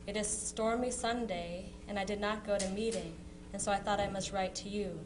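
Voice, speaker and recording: A woman's voice: mid-range voice, fairly even intonation, moderate pace, natural voice. A woman reading as a chatty, teasing sister writing to her soldier brother in a period letter dramatization. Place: live historical society presentation. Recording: noisy live recording with hum.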